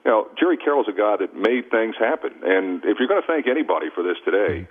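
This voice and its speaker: A man's voice: lowish voice, animated, fast, breathy edge, narrow, tinny audio. A man talking conversationally on a sports radio talk show, repeating phrases to drive his points home. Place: radio studio. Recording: thin, band-limited radio broadcast.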